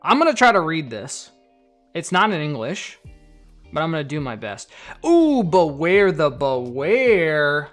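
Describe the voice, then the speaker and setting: A man's voice: on the high side, very animated, a little slow, a bit bright, faint music behind. A man chatting casually on a live stream, reading viewer donations and comments aloud. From United States. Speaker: card collector streamer.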